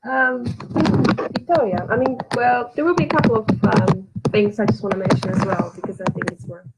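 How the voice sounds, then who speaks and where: A woman interviewing a guest, asking halting questions and backing her up with frequent encouraging murmurs. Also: mid-range voice, very noisy. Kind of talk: casual interview.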